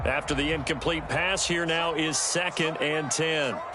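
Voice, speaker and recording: Male actor as football play-by-play announcer, American accent, slightly high-pitched, polished broadcast style, video game, heavy stadium noise. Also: steady tone, unhurried, lightly acted. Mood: composed, focused. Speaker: play-by-play sports commentator. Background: loud stadium ambience and game sounds.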